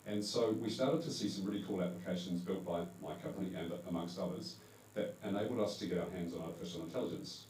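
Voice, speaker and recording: A man's voice: low voice, fast talker, a little mellow. A man with a New Zealand accent giving a conversational presentation to a live audience at an event, correcting himself now and then with fillers. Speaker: conference panel speaker. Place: event hall. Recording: echoey hall, distant mic, faint hum.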